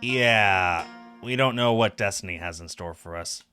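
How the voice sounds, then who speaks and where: A man's voice: on the low side, a little slow, sharp tone. A man in his thirties, a game streamer, reading a visual novel aloud in a dramatic narrating style. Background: game music with guitar.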